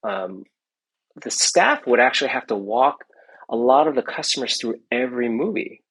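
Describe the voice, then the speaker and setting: A man's voice: medium pitch, animated, normal speed, a bit bright, husky, low-quality recording. A middle-aged man, an entrepreneur guest in a casual interview, rambling with many restarts and tag questions. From United States.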